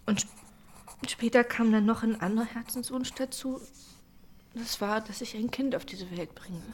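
An actress playing a condemned young mother in a German radio drama, tender and bravely composed, with a halting, breathy, often whispering delivery. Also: fairly high pitch, noisy background, natural voice. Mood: tearful farewell, tender.

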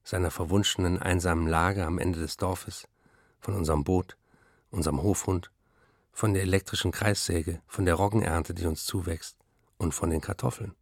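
An actor playing a hard-drinking, excitable male novelist with a gravelly, husky voice, reading letters and memories in a radio play, dryly and wryly. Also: low-pitched, normal speed, no echo. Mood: proud, contented. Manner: dry, wry first-person storytelling and letter reading.

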